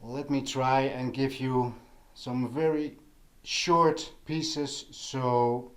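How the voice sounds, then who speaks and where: A man with a non-native English accent talks slowly and casually, like a hobbyist showing gear on his vlog. His voice sits at a middle pitch, and he pauses mid-thought as he goes. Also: steady tone. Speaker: audio hobbyist vlogger. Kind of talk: casual vlog product chat.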